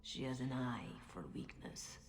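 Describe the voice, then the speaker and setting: An actress playing a mysterious, solemn female mentor, speaking in a hushed, grave, consoling way in a video game. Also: rumbling low, unhurried, a little hiss or hum. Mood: consoling, firm. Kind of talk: video game cutscene acting.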